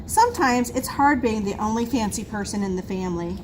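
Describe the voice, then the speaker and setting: A woman reading a children's picture book aloud in an expressive, emphatic storytelling manner. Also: fairly high pitch, a little fast. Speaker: school assistant reading to pupils. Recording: noisy room recording.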